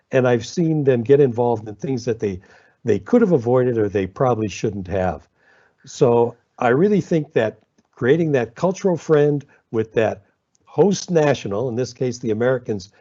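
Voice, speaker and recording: Man, panelist, measured, reflective, warm dark tone, video call audio. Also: medium pitch, muffled recording. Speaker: panelist who supports international students. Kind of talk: panel discussion.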